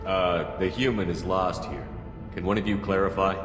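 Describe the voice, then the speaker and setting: Man playing cool human commander in a video game, low voice, talks fast and straight, music underneath. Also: natural voice. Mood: businesslike. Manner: direct, inquiring, even-tempered. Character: cool-headed human military commander, direct and businesslike. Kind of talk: video game dramatic acting.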